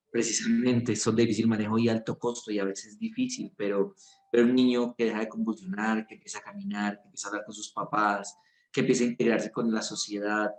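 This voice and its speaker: A middle-aged Colombian doctor with a Bogotá accent, speaking earnestly and reflectively in an interview, with many fillers and restarts. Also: male voice, on the low side. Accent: Colombian Spanish (Bogotá). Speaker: physician and research director. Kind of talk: promotional interview monologue. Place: clinic. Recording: echoey room.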